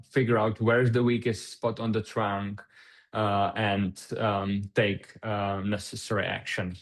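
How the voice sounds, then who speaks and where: A man from the Czech Republic whose first language is Czech, giving a technical webinar presentation over a video call, with frequent filler sounds and restarts. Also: medium pitch. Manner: hesitant, many fillers and restarts.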